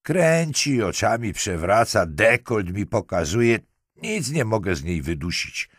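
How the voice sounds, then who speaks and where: A man's voice: on the low side, big pitch swings, a little slow, a bit bright, no room sound, clean studio sound, voice pushed up, exaggerated voice. A man voicing an exasperated, helpless policeman in an audiobook, complaining in a pleading tone. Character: exasperated, helpless male policeman asking for help.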